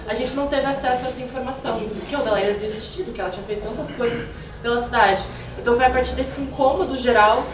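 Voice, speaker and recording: A young Brazilian woman, an actress, answering interview questions in an earnest, reflective and explanatory way. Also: on the high side. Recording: roomy, distant mic, noisy background.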